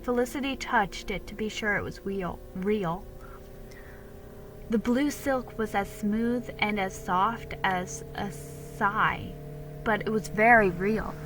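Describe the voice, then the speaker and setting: A woman's voice: medium pitch, slow pace, a bit bright, soft background music, low-quality recording. A woman giving a dramatic storybook narration, now and then stumbling and repeating words. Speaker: amateur story reader.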